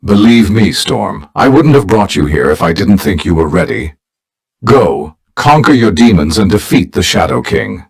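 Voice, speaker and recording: A man's voice: lowish voice, big pitch swings, creaky, breathy edge, exaggerated voice. A man acting a dignified, formal older mentor who leads a team of heroes in a video game. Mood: encouraging. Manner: formal, measured, grave.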